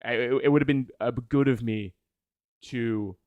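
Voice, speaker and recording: A man talks casually to his livestream viewers in a mid-pitched voice with very little room sound. He is enthused and rambles, often doubling back to fix what he just said. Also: moderate pace, dry recording, narrow, tinny audio. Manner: rambling, enthused, self-correcting. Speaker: video essayist, livestream host.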